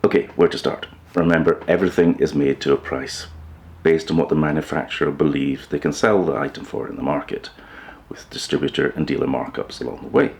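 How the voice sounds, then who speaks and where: A man presenting an informal explainer video in a measured, matter-of-fact way. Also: rumbling low, vocal fry. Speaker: host of a hi-fi upgrade video channel.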